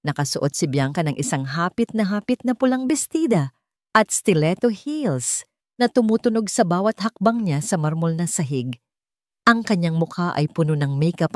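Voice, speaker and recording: A woman's voice: fairly low pitch, no room sound, clean studio sound. A woman reading a scripted dramatic story as its narrator.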